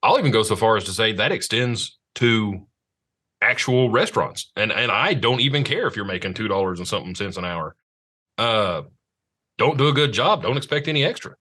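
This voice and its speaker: A man's voice: lowish voice, expressive, brisk, pushed and bright, husky. A man on a discussion panel, debating conversationally in a blunt, sardonic way.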